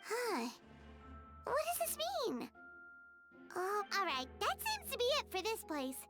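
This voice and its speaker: A woman voicing a small, eager, childlike fairy companion in a video game, expressive and exclamatory. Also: very high-pitched, measured pace, exaggerated voice. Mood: curious. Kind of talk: scripted video game voice acting. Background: playful game music.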